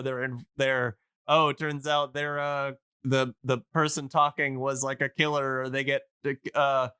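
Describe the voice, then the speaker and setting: Bright, clear-voiced man chatting casually. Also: medium pitch, no room sound, clean studio sound. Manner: halting, searching for words, enthusiastic recommending. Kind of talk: casual podcast chat.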